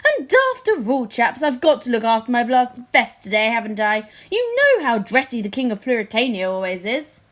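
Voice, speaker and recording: A woman's voice: a little high, sing-song, moderate pace, few pauses. A woman voicing a vain, pampered, anxious king in a storybook, with a plummy, fussy, self-excusing manner.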